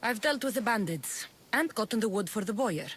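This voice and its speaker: A woman's voice: medium pitch, lively intonation, fairly quick, fairly bright tone, slightly rough. An actress playing a tough young female mercenary in a video game cutscene, blunt, dry and sardonic. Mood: wry, impatient.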